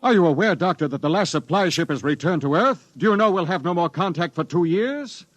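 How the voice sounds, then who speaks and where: An actor playing a grim, doomed space expedition captain in a 1950s radio drama, solemn and stern. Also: male voice, high voice, animated, brisk, no echo. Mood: stern, urgent. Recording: dull vintage radio recording with music.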